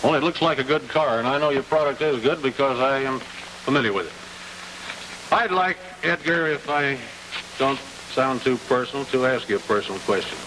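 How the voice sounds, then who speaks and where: A man's voice: mid-range voice, normal speed, pushed and bright. American TV interviewer, man, noisy old broadcast. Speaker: interviewer, television host. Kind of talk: 1950s television interview. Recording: noisy, hissy 1950s television broadcast.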